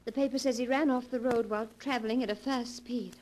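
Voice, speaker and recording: An earnest young woman in a 1940s radio drama, played by a woman, speaking with urgency and concern. Her voice sits a little high. A faint hiss from the old broadcast lies beneath. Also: fairly even intonation, quick pace, darkish tone, close to an everyday voice. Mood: worried.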